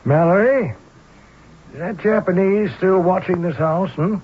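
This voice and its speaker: A learned gentleman detective in an old radio mystery, wry and sure of himself. His voice sits fairly high for a man, with courteous, cultivated phrasing. The broadcast is muffled, with a layer of hiss. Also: measured pace. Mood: assured, courteous. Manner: erudite, wry, self-assured, courteous. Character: urbane, dry-witted older scholar-sleuth, a doctor. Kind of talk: vintage radio mystery acting.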